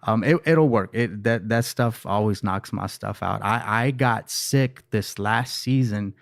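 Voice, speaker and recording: A young man hosting a casual podcast chat in a studio, animated and quick to repeat words as he starts his sentences. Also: lowish voice, vocal fry, dry recording. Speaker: podcast host.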